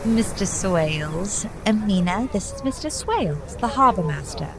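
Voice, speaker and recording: Woman playing a lively, genteel young romantic lady. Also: medium pitch, moderate pace, noisy background, natural voice. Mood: eager, curious. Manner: gushing, proper period diction. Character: genteel, romantic young bride-to-be, bright-eyed and curious. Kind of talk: radio play acting.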